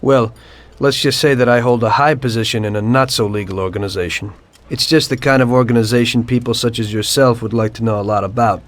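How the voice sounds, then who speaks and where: A man playing a calm, unrepentant mobster. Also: mid-range voice, a little fast, hardly any pauses, lightly acted. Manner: calm, matter-of-fact storytelling. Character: male mafioso turned informant, calm and unrepentant. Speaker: narrator of his past. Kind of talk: video game cutscene acting.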